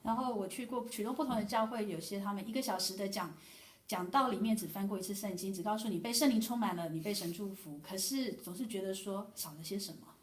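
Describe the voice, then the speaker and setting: A woman interpreting a sermon testimony sentence by sentence in a brisk, matter-of-fact way. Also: medium pitch. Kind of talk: consecutive church interpretation. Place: church hall. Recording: echoey room from far off.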